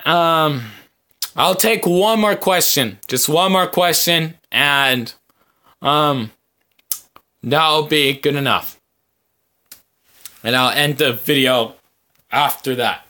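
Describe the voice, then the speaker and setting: A man hosting a podcast, talking casually to his viewers in a rambling, halting way with long hesitant pauses. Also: a little high, pushed and bright. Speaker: podcast host.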